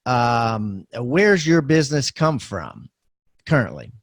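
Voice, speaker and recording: A man's voice: medium pitch, very animated, takes it slowly, no room sound, clean studio sound. A man hosting a podcast interview, asking questions in an informal, conversational way. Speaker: podcast host interviewing a guest. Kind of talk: casual interview chat.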